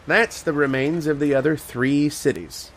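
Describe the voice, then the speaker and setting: An actor playing an earnest, haunted archaeologist telling his uncanny tale in old-time radio horror style, with dramatic, deliberate pauses. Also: fairly high pitch, fairly bright tone. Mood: matter-of-fact, explanatory. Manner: dramatic first-person storytelling with deliberate pauses.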